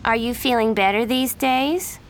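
A woman voicing a catty teenage girl who spreads gossip, in a sweet, needling, high voice, in an audiobook reading. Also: normal speed, creaky, a bit bright, a little hiss or hum. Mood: sly sweet.

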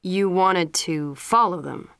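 A woman's voice: fairly low pitch, takes it slowly, a bit bright, smooth, no echo. Woman reading as a stern scolding father. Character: stern middle-aged father scolding his son. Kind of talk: storybook character reading.

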